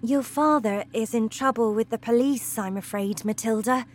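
A woman voicing a soft-spoken kind teacher. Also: fairly high pitch, moderate pace, a little hiss or hum, pitched above the performer's own voice, natural voice. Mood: gentle. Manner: soft, gentle, reassuring. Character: kind gentle schoolteacher woman, soft and calm.

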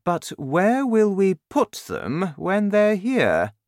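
A man's voice: high voice, wildly swooping pitch, slow, darkish tone, dry recording, studio quality, voice pushed up, heavily acted. A man voicing a blunt, doubtful military air force chief in a storybook reading.

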